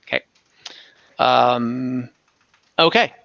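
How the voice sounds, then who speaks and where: A man's voice: medium pitch, drawn-out words, creaky, fairly bright tone, dry recording, highs cut off. A man talking casually as dungeon master in an online tabletop game. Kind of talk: casual game-running talk. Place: indoors, online voice call.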